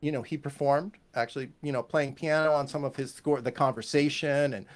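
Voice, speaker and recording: A man's voice: mid-range voice, a little fast. Man telling stories in a casual interview. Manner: animated anecdotes, quotes others and sings musical examples. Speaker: guest, composer and music teacher.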